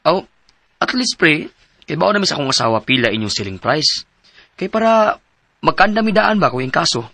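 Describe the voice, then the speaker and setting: A man's voice: on the high side, big pitch swings, normal speed, long pauses, bright, forceful tone, dry recording, exaggerated voice. An actor with a Cebuano Filipino accent playing an agreeable married man in his thirties in a radio drama, polite and earnest. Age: 30s. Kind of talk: radio drama acting and narration.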